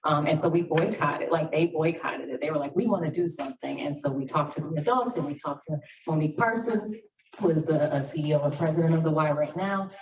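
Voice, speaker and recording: A middle-aged woman speaking as a panelist, loud and lively, quick to laugh. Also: low voice. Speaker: panelist, school district manager. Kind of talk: panel discussion. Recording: roomy, distant mic, faint noise.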